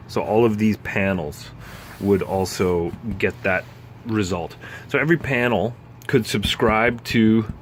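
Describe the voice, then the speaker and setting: Guy w kinda low voice walking thru tech stuff off the cuff, stop n start, some bg noise. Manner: halting, thinking aloud as he explains. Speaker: presenter explaining vintage broadcast gear. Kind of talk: casual unscripted technical walkthrough.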